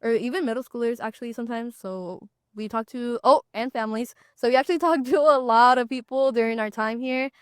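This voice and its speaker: Young woman, college podcast co-host, smooth clear voice, easygoing, close dry sound. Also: on the high side, fairly quick, hardly any pauses, dry recording. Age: young adult. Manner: friendly, easygoing, light laughs. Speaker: podcast co-host, student ambassador. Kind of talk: casual chat.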